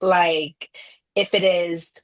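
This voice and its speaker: A woman from Trinidad, a podcast guest, telling her story thoughtfully over a remote call and often pausing with "you know". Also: on the low side, level tone, rough recording. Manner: thoughtful, layered answers with frequent "you know". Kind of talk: reflective conversational storytelling.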